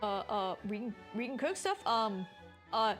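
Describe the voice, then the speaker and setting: A woman's voice: a little high, sing-song, measured pace, music in the background. A woman livestreamer giving casual, off-the-cuff commentary as she plays through a story game.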